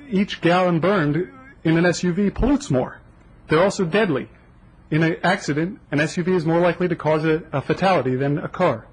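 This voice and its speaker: Young man, husky voice, higher pitch, insistent and fact-driven, podium mic, council chamber. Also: rough recording. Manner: earnest, insistent, lists facts. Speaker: public commenter. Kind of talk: public comment at council meeting. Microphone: podium microphone.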